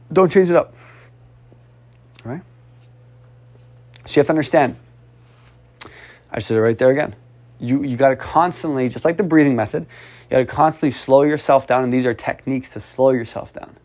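A man giving casual coaching advice on speaking, animated and repetitive, often sighing and urging the listener on. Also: medium pitch, a little fast, long pauses. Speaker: speech coach.

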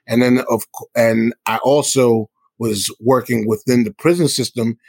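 A man's voice: medium pitch, fairly even intonation, moderate pace, gravelly, darkish tone, no echo. A middle-aged man from the South Bronx, answering interview questions in an earnest, explanatory, conversational way. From South Bronx, New York City. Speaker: hip hop musician and guest.

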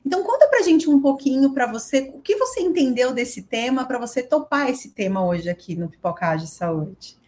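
A woman's voice: high-pitched, sing-song, moderate pace, hardly any pauses, darkish tone. A woman with a Brazilian accent, co-hosting a podcast and interviewing in a chatty, informal way. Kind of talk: casual conversational interviewing.

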